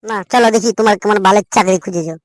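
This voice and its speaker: A woman's voice: medium pitch, rapid-fire, no echo, natural voice. A woman voicing a cheeky, foul-mouthed young son in a comic cartoon, whiny and insolent, with a West Bengal accent. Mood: grumbling.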